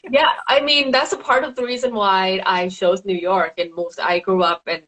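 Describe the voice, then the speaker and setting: A woman from Thailand with a Thai accent, a designer and paper florist chatting as an interview guest, full of fillers and quick laughs. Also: mid-range voice, steady tone, a bit bright, poor audio. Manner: chatty, giggly, many fillers. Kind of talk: casual interview answers.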